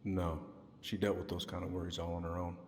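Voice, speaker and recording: Low-voiced folksy police chief uncle played by a man. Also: flat delivery, fairly quick, a little mellow, long reverb tail, a little hiss or hum, close to an everyday voice. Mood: guarded, paternal. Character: gruff avuncular small-town police chief, defensive uncle. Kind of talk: naturalistic video game acting.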